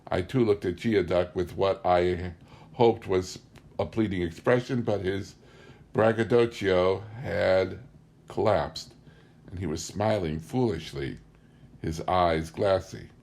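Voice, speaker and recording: Male audiobook narrator, low-pitched, for first-person literary memoir. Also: slow, creaky, a little mellow. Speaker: audiobook reader.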